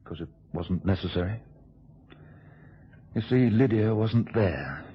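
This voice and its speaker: A man's voice: fairly low pitch, animated, takes it slowly, breathy edge, heavily acted. An actor playing a troubled, guilt-burdened man in love, hesitant and intense in an old radio drama. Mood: tense, doubtful. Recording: dull, noisy old radio recording.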